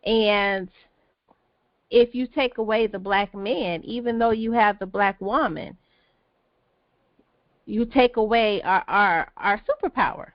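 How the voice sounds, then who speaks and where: A woman's voice: mid-range voice, takes it slowly, pauses often, no room sound, highs cut off. A woman hosting a conversational panel discussion, repeating phrases for emphasis. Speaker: podcast host.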